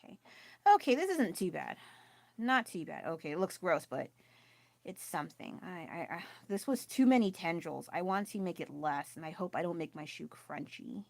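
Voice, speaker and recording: Chatty low-voiced woman, dry sound. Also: animated, normal speed, creaky, no echo. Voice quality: loud voice. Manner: chatty, self-deprecating, laughs often. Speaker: artist livestreaming her painting. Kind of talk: casual live-stream chat while working. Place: at home with family nearby.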